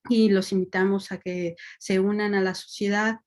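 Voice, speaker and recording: Woman, webinar host, steady explainer, even low voice, call mic. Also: moderate pace. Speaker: webinar moderator. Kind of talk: introducing a webinar.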